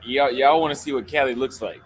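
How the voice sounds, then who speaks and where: A man's voice: medium pitch, fairly even intonation, a little fast, a little hiss or hum, poor audio. A man hosting a casual livestream chat outdoors, laid-back and joking, with plenty of swearing. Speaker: livestream host.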